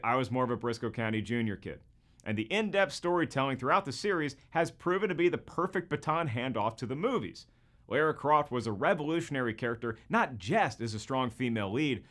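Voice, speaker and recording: A man's voice: mid-range voice, a bit bright. A man in his forties with an American accent, hosting with an emphatic, jokey, scripted comedic narration. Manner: emphatic, wry, jokey delivery. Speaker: host.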